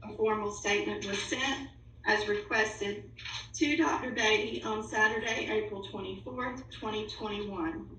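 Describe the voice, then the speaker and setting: A woman's voice: medium pitch, fairly even intonation, a little slow, fairly bright tone. A woman reading a written statement aloud formally, as an official at a public board meeting. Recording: echoey room, distant mic, faint noise.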